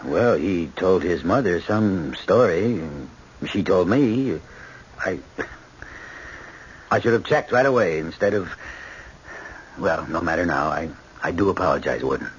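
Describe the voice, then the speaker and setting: A troubled, middle-aged judge in a 1970s radio play. He speaks in a fairly low voice, halting and stammering with earnest apology. The old broadcast sounds muffled, with slight noise around the voice. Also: lively intonation. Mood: apologetic. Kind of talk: 1970s radio drama acting.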